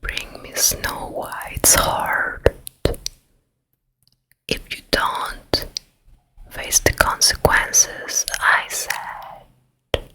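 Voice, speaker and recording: A woman playing a vain, scheming wicked queen and stepmother in a whispered ASMR roleplay, slow, deliberate and given to repeating her words. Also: pushed and bright, exaggerated voice, rough recording. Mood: scheming, menacing. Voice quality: breathy unvoiced whisper. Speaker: ASMR performer.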